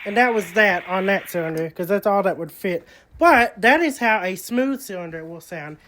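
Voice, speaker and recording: A man's voice: high voice, expressive, normal speed, background noise. A man presenting an informal, hands-on demonstration of antique recording equipment, explaining each step as he goes.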